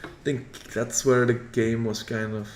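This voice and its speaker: A man's voice: medium pitch, unhurried, slight background noise. Flemish man commentating in an echoey room. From Belgium. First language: Dutch. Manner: relaxed, chatty, reads viewer comments. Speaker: stream commentator. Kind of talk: casual play-by-play commentary. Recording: roomy echo.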